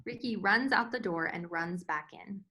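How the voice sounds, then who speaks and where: A woman's voice: fairly low pitch, normal speed, sharp tone, breathy edge, rough recording. A woman reading screenplay stage directions as scripted narration in a table read.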